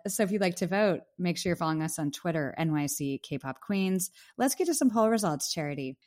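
A woman co-hosting a podcast in a casual chat, losing her voice with a slight cough, talkative and quick to laugh. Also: on the low side, animated, darkish tone. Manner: chatty, laughs easily. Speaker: podcast co-host.

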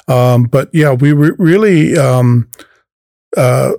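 Halting man. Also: mid-range voice, gravelly, dark tone, no echo, studio quality. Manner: halting, many fillers and restarts. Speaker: nonprofit program leader, guest. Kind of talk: interview answers.

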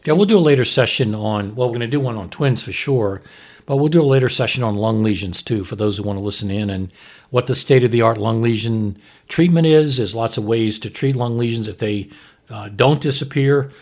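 A man's voice: medium pitch, fairly quick, darkish tone. A man hosting a casual podcast discussion as a physician, explaining medical points in a conversational way. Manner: conversational, explanatory, checks with "right?". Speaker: host, fetal surgeon reviewing a TV episode.

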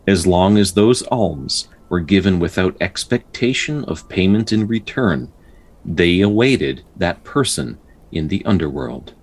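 A man with a deep, rough-edged voice hosts and narrates folktales. His intonation rises and falls widely, whether he is chatting casually or telling a story. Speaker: podcast host, storyteller.

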